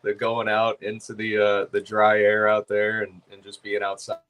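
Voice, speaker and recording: A low-pitched man with a dry, hoarse voice. He chats slowly and loosely, trailing off into fillers. He is in a back room of his shop. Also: completely flat delivery, fairly bright tone. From California. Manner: laid-back, rambling with fillers. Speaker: guest. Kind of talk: casual chat.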